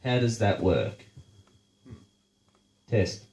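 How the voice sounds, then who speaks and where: Australian man, stuffy nasal voice, mellow and low, echoey room. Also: expressive, drawn-out words. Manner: blunt, sarcastic, swears freely. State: congested, nasal from a blocked nose. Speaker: show host. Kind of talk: casual profane rant.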